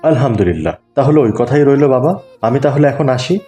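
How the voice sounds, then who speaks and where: Man acting devout older uncle, mellow, patient, earnest, preachy, cartoon dialogue, faint music behind. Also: medium pitch, lively intonation, fast talker, natural voice. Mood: earnest admonishing. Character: kindly pious older neighbourhood man, patiently advising.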